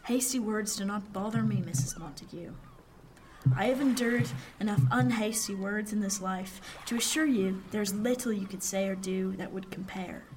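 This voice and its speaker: A woman playing a self-possessed, formal seafaring man in a period radio drama, speaking with deliberate care. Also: medium pitch, steady tone, darkish tone, a little hiss or hum, lightly acted, poor audio. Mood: calm, candid.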